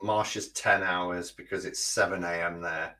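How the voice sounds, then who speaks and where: Male guest, low-pitched, bright forceful tone, informal live chat. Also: steady tone, unhurried. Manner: chatty, informal. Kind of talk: casual live-stream chat.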